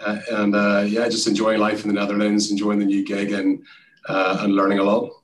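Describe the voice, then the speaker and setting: An Irishman speaking as a guest in a casual interview, relaxed and chatty with frequent fillers. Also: lowish voice, brisk, recorded from a distance, rough recording. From Ireland. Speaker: guest, sales executive.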